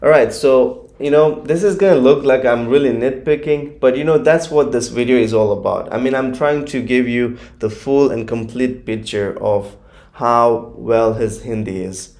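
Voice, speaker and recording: A man's voice: medium pitch, normal speed, darkish tone. A man whose first language is Gujarati, hosting a casual reaction video and chatting through his commentary with plenty of fillers. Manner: chatty, nitpicking, many fillers. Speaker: YouTube reaction host.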